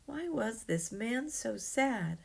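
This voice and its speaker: A woman reading a rhyming children's story aloud in an expressive, dramatic way. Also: on the high side, slow, creaky, slightly rough.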